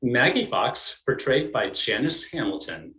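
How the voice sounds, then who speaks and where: A man narrating and announcing in a formal, measured, scripted way as a presenter. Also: medium pitch, very animated, sharp tone, roomy sound, low-quality recording. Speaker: narrator and presenter of a community play. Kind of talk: scripted narration and cast introductions.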